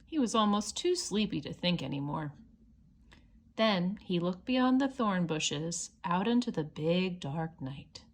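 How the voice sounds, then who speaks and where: A woman's voice: lowish voice, lively intonation, unhurried. A woman reading a children's picture book aloud, with simple explanatory asides for young listeners. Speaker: storybook reader.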